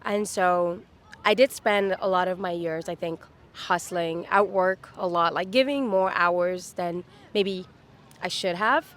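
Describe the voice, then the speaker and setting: A woman's voice: fairly low pitch, a little slow. A woman in her thirties from Dubai, chatting upbeat as a street interview guest, with many fillers. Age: 30s. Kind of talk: casual chat. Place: outdoors on a city street.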